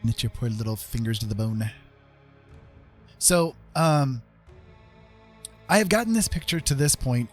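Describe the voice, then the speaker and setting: Low-voiced man chatting on a livestream. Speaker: live streamer and digital artist. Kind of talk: casual livestream chat.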